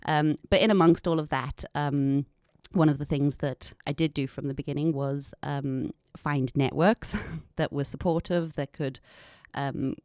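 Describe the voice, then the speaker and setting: A woman's voice: low-pitched, steady tone, unhurried, few pauses, a little mellow, clean tone, dry recording, clean studio sound. A woman in her thirties with an anglicized South African accent, telling her story candidly as an interview guest. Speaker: podcast guest, environmental consultant and business owner. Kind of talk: conversational interview, personal story.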